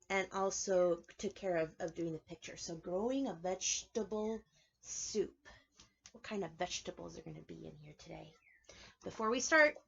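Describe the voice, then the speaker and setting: A woman preschool teacher reading aloud and explaining things to young children, clearly emphasizing key words in an instructive way. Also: medium pitch, a bit bright, lo-fi sound. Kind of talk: children's read-aloud lesson with explanations and questions.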